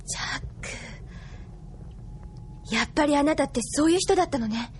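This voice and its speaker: An actress playing a gentle, anxious young woman with soft, earnest delivery in a Japanese audio drama. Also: sits high, brisk, a little hiss or hum, natural voice. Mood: apologetic, subdued.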